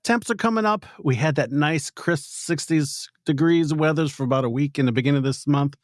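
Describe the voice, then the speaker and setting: A man's voice: a little high, brisk, dry recording, clean studio sound. A man from New Orleans co-hosting a podcast, making friendly, easygoing small talk. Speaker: podcast co-host. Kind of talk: casual chat.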